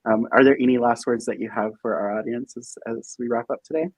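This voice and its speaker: A man hosting and moderating an online career fair in a casual, upbeat, somewhat halting way. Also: medium pitch, steady tone, no room sound. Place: remote virtual event stream.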